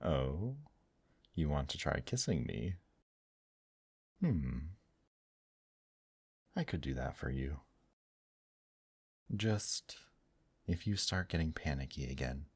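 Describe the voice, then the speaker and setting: A man voicing a gentle, teasing male demon in an intimate ASMR roleplay, speaking softly and courteously to the listener. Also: extremely low pitch, big pitch swings, husky, dry recording, heavily acted. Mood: gentle, reassuring. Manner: slow, soft, courteous, affectionate teasing with endearments. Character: gentle, teasing male demon brothel worker, tender and attentive.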